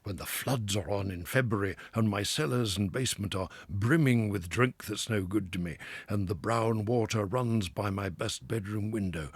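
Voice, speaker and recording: A man voicing a hearty, self-assured male water rat who talks loudly and with relish. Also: on the low side, normal speed, slightly rough, no echo. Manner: loud, confident, lively, with chuckles.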